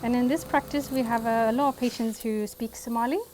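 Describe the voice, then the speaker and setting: A woman in her twenties, raised in Sweden, who works as a dentist. She speaks softly and reflectively in a documentary interview, with frequent fillers, at her dental practice. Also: on the high side, fairly even intonation, fairly quick, noisy background. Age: 20s.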